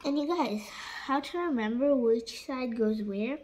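A woman's voice: fairly high pitch, measured pace. A young girl hosting a casual hands-on toy unboxing indoors at a table. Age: child. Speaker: toy review host. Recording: clicky, noisy home recording.

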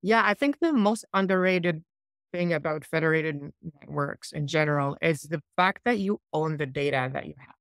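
A man in his forties from Iraq, a software founder, answering interview questions on a laptop video call in a small room, thoughtful and loose with restarts. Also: on the high side, lively intonation, normal speed, clear, resonant tone, no echo, clean studio sound. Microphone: laptop mic on a video call.

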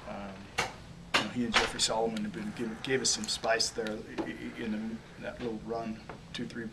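A man in his forties sits in a small press room and talks into a table microphone, pitched a little low and often stumbling over repeated words. The mic sits some way off and picks up plenty of room noise. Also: level tone, gravelly, recorded from a distance. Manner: halting, repeats words while searching for phrases. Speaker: head football coach. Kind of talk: postgame press conference answers. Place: small press room, in front of a logo backdrop. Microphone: table microphone on a stand.

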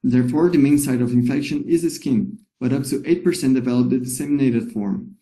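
Male presenter, measured reading, deep mellow tone. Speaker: medical presenter.

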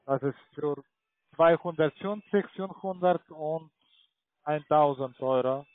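A man with a foreign accent speaking non-native German as a call centre salesman, pitching haltingly and tagging sentences with "ja". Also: no room sound. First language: not German. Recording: tinny narrow phone line.

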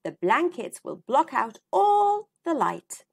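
A woman's voice: high-pitched, very animated, slow, vocal fry, pitched above the performer's own voice, heavily acted. A woman voicing a kind, encouraging schoolteacher who instructs young children in a storybook.

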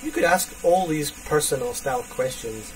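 A man in his thirties teaching a basic English lesson, speaking slowly and repeating phrases for learners. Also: on the high side, big pitch swings, vocal fry. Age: 30s. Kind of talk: online English lesson for students. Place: indoors, small room. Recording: distant webcam mic with faint hiss.

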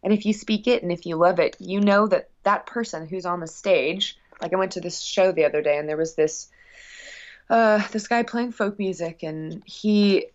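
A woman's voice: on the low side, normal speed, dull audio. A woman guest chatting casually in an interview about her work as a musician, rambling expressively with frequent asides.

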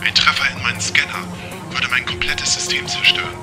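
A man's voice: medium pitch, fairly even intonation, a little fast, close to an everyday voice. Man acting precise, formal talking car computer. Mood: alert, composed. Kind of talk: German audio drama acting. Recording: loud music under the voice.

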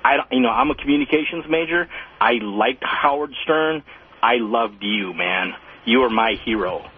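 A man's voice: medium pitch, normal speed, husky. A man calling in to a radio show, gushing earnestly and stumbling over his words. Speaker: caller. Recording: tinny phone line on radio.

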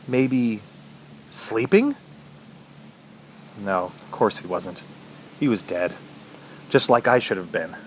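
An actor playing an injured, thirsty trapped man, narrating his ordeal in a brooding, halting, dramatic way for a horror radio play. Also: on the low side, a little hiss or hum, lightly acted.

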